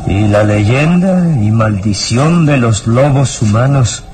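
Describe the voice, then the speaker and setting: An actor playing a gaunt, sinister villain in an old radio serial, speaking with slow, deliberate menace. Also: male voice, medium pitch, expressive, noisy background. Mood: quiet menace. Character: gaunt, sinister male villain lurking in swamps. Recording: radio drama with music behind. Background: dramatic music.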